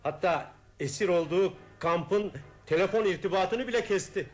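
An actor playing a shrewd, scheming male investigator in a Turkish radio drama, polite and guarded, with deliberate phrasing. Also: high-pitched, faint music behind, close to an everyday voice. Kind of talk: Turkish radio theater acting.

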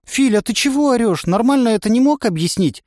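Guy putting on a squeaky petulant girl, stubborn and whiny, pitched way up, dry recording. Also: expressive, brisk, darkish tone, dry recording. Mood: indignant. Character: timid, stubborn young woman, fussy and whiny. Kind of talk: audiobook character voice.

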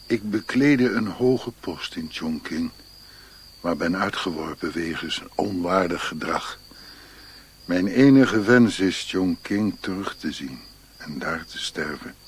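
A man's voice: low-pitched, animated, slow pace. An actor playing an old exiled Chinese man, sly and melancholy, in a radio play. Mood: pleading, melancholy. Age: older. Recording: noisy archive radio play recording.

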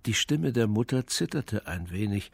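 An actor as the narrator of a radio crime drama, reading scripted narration in a measured way. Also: male voice, fairly low pitch, animated, creaky, breathy edge, dry recording, exaggerated voice. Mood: observant.

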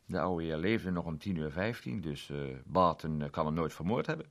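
A man voicing a blunt, gruff male police inspector who talks with many hesitations. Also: deep voice, lively intonation, moderate pace. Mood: rueful.

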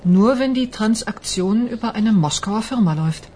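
A woman acts an irritable office employee in a German radio crime play. She speaks at a medium pitch, impatient and reluctant, with faint room ambience around her. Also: expressive, moderate pace, background noise, lightly acted. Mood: annoyed, wary. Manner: testy, impatient.

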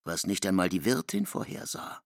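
A man with a gravelly voice narrating literary prose and quoted dialogue in a German radio play. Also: low-pitched, sing-song, normal speed, dry recording, studio quality.

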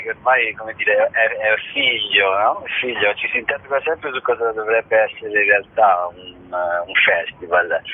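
Guy being interviewed, lowish voice, keeps hunting for words, tinny phone sound. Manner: hesitant, searching for words, repeats himself. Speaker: theatre festival artistic director being interviewed. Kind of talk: unscripted spoken interview. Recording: tinny phone line with clear noise.

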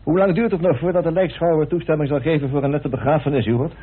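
A man's voice: fairly high pitch, fairly even intonation, quick pace, husky. An actor playing a self-assured, talkative, persuasive man in a radio drama. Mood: brisk, jovial. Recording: muffled, narrow-band old radio recording.

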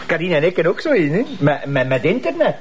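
A man's voice: fairly high pitch, sing-song, brisk. A man with a Flemish accent playing an eager, naive and somewhat hesitant junior police officer in a crime drama. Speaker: actor. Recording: clear background noise and clicks.

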